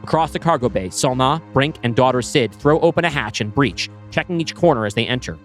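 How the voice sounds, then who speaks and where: A man reading his screenplay aloud, with brisk scene-setting narration. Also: medium pitch, lively intonation, soft background music. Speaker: writer pitching a screenplay.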